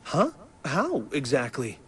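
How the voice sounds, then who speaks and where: A man's voice: medium pitch, huge pitch swings, slow pace, a bit bright, husky, full character voice. An actor playing a learned, composed man in a video game, explaining things in a formal, precise way. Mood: doubtful.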